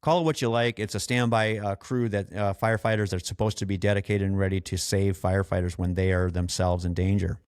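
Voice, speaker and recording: A man hosting a podcast as an experienced firefighter, explaining things earnestly in a conversational, halting way with repeated and restarted phrases. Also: deep voice, steady tone, darkish tone, smooth, no room sound, clean studio sound.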